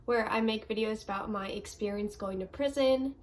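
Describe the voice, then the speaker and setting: A young woman with a slightly stuffy, congested voice talks straight to her viewers in a loose, conversational way. She rambles a little, filling gaps with "um" and "like," her pitch sitting in an ordinary middle range. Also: moderate pace. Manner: chatty, lots of ums and likes. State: stuffy nose. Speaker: vlogger. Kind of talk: casual Q&A vlog.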